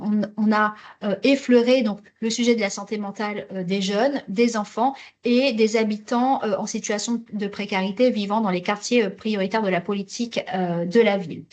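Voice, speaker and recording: Higher-pitched woman presenting, halting delivery. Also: darkish tone. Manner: hesitant, halting delivery with repeated words. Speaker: webinar presenter for a health network. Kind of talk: semi-scripted webinar presentation with slides.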